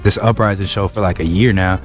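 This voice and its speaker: A young man with a very low voice talks casually as a podcast guest, stumbling over repeated words and restarting his sentences. Faint music sits behind him. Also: steady tone, brisk. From Wilmington, California. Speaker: guest rapper. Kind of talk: casual interview chat.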